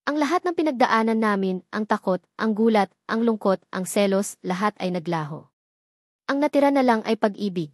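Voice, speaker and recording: Female narrator for scripted romance fiction: mid-pitched, dramatic storytelling, close dry recording. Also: lively intonation, normal speed, a little mellow, dry recording.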